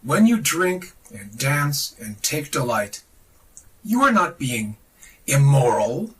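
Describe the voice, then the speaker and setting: A man's voice: mid-range voice, very animated, slow pace, a bit bright, distant mic. A man giving a solemn, oratorical reading of a scripted religious text, declamatory and reverent, with emphatic phrasing. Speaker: reader of a religious text on a podcast.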